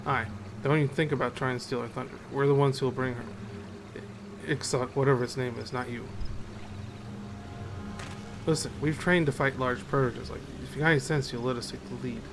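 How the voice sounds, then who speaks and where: A man's voice: medium pitch, steady tone, fairly quick, long pauses, close to an everyday voice. A man voicing proud, distrustful hunters from a fantasy game, curt and dismissive, as he reads on stream. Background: game music.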